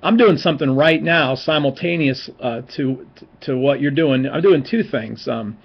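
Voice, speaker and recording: A man's voice: medium pitch, normal speed, gravelly, slightly rough, muffled recording. A man in his forties, a seminary professor, giving an informal, encouraging recorded class talk with many fillers and restarts. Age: 40s. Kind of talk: recorded online class lecture.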